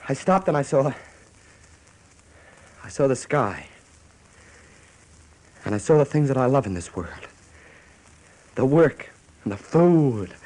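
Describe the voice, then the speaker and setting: An actor playing an anguished, blunt grown son in a radio drama, with an American accent and emphatic, pleading delivery. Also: male voice, mid-range voice, a little mellow, low-quality recording. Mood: pleading, earnest. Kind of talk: stage-style radio drama acting.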